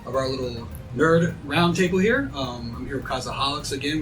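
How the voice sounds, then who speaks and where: A man's voice: a little high, fairly bright tone, distant mic, low-quality recording. A man hosting a casual roundtable discussion, talking as the presenter to a group and audience. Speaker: host.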